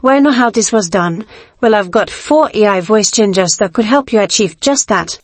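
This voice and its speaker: A woman's voice: mid-range voice, lively intonation, moderate pace, no echo. A woman's voice made by AI voice conversion, reading a scripted video introduction.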